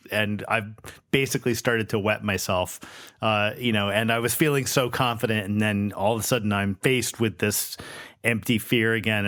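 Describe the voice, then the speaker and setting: A man's voice: on the low side, a bit bright, no room sound, studio quality. A man playing in a tabletop RPG, talking casually and describing what his character does. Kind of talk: casual table talk and roleplay.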